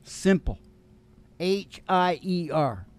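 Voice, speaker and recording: A man's voice: high-pitched, big pitch swings, slow. A man, co-hosting a comedy music podcast, tells stories in a folksy, meandering, absent-minded way and chats casually. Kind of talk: rambling comic storytelling, casual chat.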